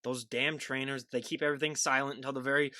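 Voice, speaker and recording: A man in his mid-twenties hosting a podcast, joking and excitable as he questions his guest. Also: mid-range voice, fast, no echo, clean studio sound. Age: 20s. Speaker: podcast host. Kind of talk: casual podcast interview.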